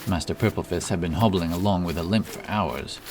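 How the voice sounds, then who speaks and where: A man's voice: low voice, fairly quick, over music. A man narrating a dramatic fantasy story as an audiobook, over background ambience. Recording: clear background ambience under voice.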